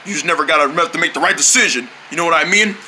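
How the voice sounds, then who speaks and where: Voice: medium pitch, racing, sharp tone, rough recording. A performer voicing a brave, boastful male general in a cartoon, talking in folksy, slangy tough talk. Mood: determined. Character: brave, swaggering, boastful male general, self-appointed hero. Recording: noticeable background noise.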